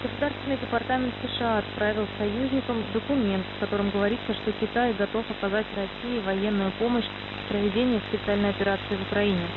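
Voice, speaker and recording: A woman presenting the news, reading from a script on shortwave radio. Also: mid-range voice. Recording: phone-like shortwave radio, loud hiss.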